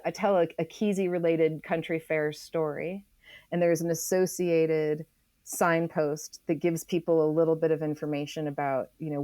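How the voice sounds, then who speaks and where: An American woman from Oregon explaining her work as an interview guest in a reflective, conversational way, emphatic and often pausing to think aloud. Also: low voice, mellow, no room sound. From Oregon, United States. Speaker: interview guest, author and geologist.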